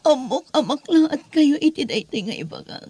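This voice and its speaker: A woman's voice: high voice, big pitch swings, fairly quick, mellow, no echo. A woman playing an anguished, reproachful grown daughter in a melodramatic audio drama, emotional and pleading. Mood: tearful, reproachful.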